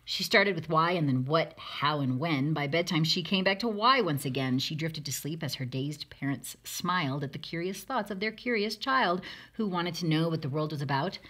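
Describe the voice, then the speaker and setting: A woman's voice: low voice, expressive, normal speed, few pauses, a bit bright. A woman reading a rhyming children's picture book aloud, expressively, with dramatic emphasis. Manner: dramatic emphasis, acting out lines. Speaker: storybook reader.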